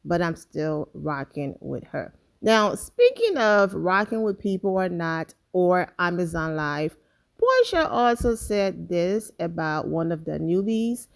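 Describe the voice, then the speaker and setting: A woman hosts a celebrity gossip channel with a slightly low voice. She talks straight to her viewers in a chatty, emphatic way, as casual commentary. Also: sing-song, slow pace, vocal fry.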